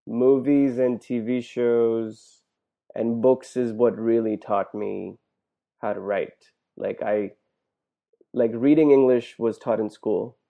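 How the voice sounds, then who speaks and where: Creaky-voiced man hosting an interview. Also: medium pitch, fairly even intonation, slow pace, warm tone. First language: Hindi. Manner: thoughtful, rambling, restarts often. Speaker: podcast host. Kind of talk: conversational interview. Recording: dull lo-fi audio.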